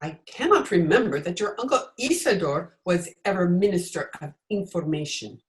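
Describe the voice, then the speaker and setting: A woman's voice: on the low side, animated, moderate pace, close to an everyday voice. A woman acting as an imperious, formal dictator's wife in an old-time radio drama, grand and declamatory. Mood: haughty, suspicious.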